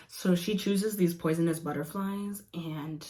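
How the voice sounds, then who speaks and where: A woman's voice: on the low side, warm tone, slight background noise. Young woman, chatty, giggly, rambling, casual vlog review, slightly worn voice. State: losing her voice. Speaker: vlogger reviewing comics.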